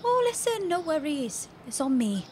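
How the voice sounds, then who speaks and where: A woman's voice: high-pitched, sing-song, moderate pace, a little mellow, a little hiss or hum. A woman playing a caring, breezy young British friend in an audio drama, chatty and reassuring. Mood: breezy, generous. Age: young adult. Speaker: actor in a fiction podcast. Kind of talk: naturalistic audio drama dialogue.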